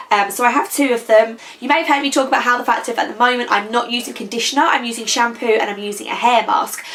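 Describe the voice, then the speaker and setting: A young woman with a slightly croaky voice, chatting casually through a beauty product review and rambling with frequent ums. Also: a little high, fairly bright tone, a little hiss or hum. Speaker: beauty vlogger. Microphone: camera.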